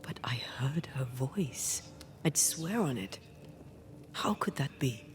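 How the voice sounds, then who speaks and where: An actress playing a passionate, brooding ghost woman in a video game, with a breathy, whispery, intimate delivery. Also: a bass voice, lively intonation, heavily acted. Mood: troubled, confiding. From Cuba (the character). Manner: slow, hushed, deliberate.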